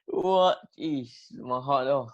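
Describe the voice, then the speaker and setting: A man's voice: medium pitch, takes it slowly, no echo, highs cut off. Teenage boy, dry sound. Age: teens. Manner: rambling, laughing, slangy with many fillers. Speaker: podcast guest. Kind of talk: casual chat.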